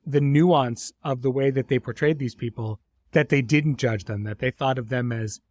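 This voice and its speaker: A man hosting a conversational interview, speaking thoughtfully in phrases broken by pauses and restarts. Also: medium pitch, darkish tone. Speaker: host, series creator.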